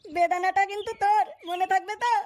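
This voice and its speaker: Very high-pitched scheming cartoon young man. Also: quick pace. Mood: sly, gloating. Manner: crude, swearing, excitable. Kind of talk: comic cartoon dubbing.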